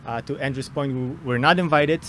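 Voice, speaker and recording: A man with a Polish accent introduces himself as a political candidate, his voice sitting in a middle pitch. He talks haltingly outdoors, with many fillers and restarts. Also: fairly bright tone, slight background noise. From Poland. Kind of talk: unscripted debate introduction.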